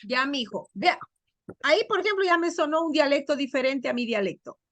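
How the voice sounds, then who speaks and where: High-voiced woman teaching an online class. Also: expressive, a bit bright, no echo. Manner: asks students questions, repeats key words slowly.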